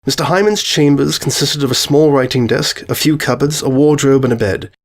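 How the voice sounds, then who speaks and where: A man's voice: mid-range voice, a little fast. A man narrating a scripted short story as its narrator.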